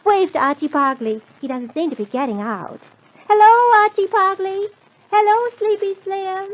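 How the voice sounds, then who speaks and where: A woman's voice: very high-pitched, expressive, normal speed. A woman playing a cheerful, motherly lady of the house in an old-time children's radio show. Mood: excited. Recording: tinny, phone-like old radio recording.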